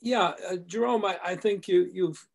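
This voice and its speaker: An older man in a video call discussion, explaining ideas slowly with hesitations from his home study. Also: sits high, vocal fry. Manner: measured, hesitant, lecturing. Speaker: discussion group member. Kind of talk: casual academic discussion.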